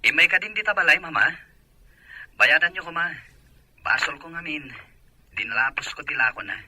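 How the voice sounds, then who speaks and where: A man acts a whining grown son over a telephone in a radio drama. His voice is raspy and piercingly bright, with a close, dry sound. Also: a little high, brisk, no room sound. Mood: evasive, complaining. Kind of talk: Filipino Ilocano radio-style drama acting.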